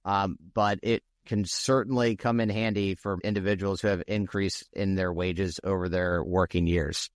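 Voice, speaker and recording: A man co-hosting a podcast, explaining things in a steady, slightly salesy way. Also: deep voice, moderate pace, hardly any pauses, clean tone, no echo, studio quality. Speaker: podcast co-host. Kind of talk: casual podcast discussion.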